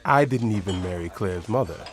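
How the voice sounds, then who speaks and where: A man's voice: lowish voice, expressive, brisk, natural voice. An actor playing a zealous, pompous older father and moral crusader in an audio drama, speaking in an oratorical way. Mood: reluctant, subdued.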